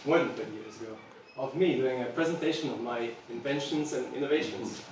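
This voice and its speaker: Halting man presenting from far off. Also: medium pitch, background noise. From Serbia. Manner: halting, many ums and restarts. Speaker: speaker presenting his company. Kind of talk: presentation talk. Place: event space with an audience. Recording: echoey room, mic far off.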